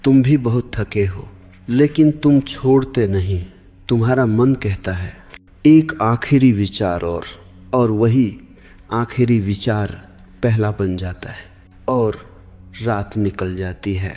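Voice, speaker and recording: A man giving a meditative spiritual discourse for sleep, deliberate, in short measured phrases that often repeat. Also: fairly low pitch. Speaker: spiritual teacher. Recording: long hall reverb, dull lo-fi sound. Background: clear background music.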